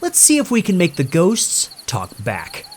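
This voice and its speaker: A man's voice: high voice, wildly swooping pitch, a little slow, slight background noise, pitched above the performer's own voice, full character voice. A man voicing a cocky young ghost-hunting livestreamer in a horror story, brash and showy as if addressing an online audience. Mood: brash, hyped. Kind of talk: horror story character dialogue.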